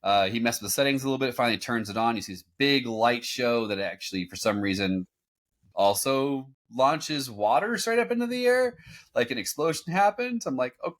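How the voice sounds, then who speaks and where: A man hosting a podcast, recapping in a casual, halting, conversational way. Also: medium pitch, expressive, fairly bright tone. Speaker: podcast host. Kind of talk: casual recap commentary on a cartoon. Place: home room, on a group video call.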